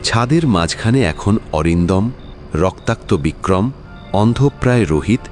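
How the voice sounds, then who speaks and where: A man's voice: fairly low pitch, expressive, unhurried, darkish tone. A man narrating a suspense thriller audio story in a dramatic scripted style. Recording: music behind the voice. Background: suspense music.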